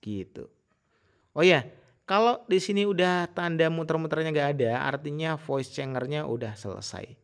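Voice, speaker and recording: A man giving a casual, informal software tutorial in an explanatory way. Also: fairly high pitch, normal speed, gravelly. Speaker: tutorial host.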